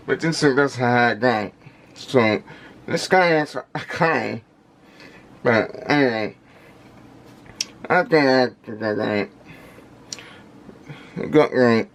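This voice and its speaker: A man giving casual unscripted product commentary in halting, repetitive fragments, with labored, indistinct articulation from a speech impediment. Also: medium pitch. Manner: halting, repetitive, fragmented phrasing with effortful delivery. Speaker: vlogger unboxing audio gear.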